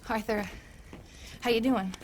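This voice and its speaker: An actress playing a worried, pleading young frontier woman in a cinematic game, halting and earnest. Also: on the high side, steady tone, noisy background, close to an everyday voice. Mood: uneasy.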